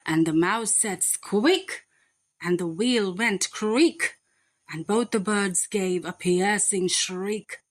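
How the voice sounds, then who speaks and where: A woman reading a rhyming children's bedtime story aloud in a deliberate, expressive, dramatic way. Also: mid-range voice.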